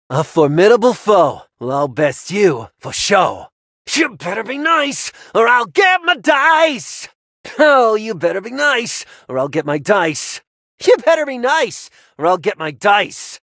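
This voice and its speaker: An actor voicing a brash heavy-metal dragon-riding knight in a video game, with a bombastic, theatrical delivery and drawn-out battle cries. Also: mid-range voice, big pitch swings, fairly bright tone, no room sound, studio quality, full character voice. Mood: taunting.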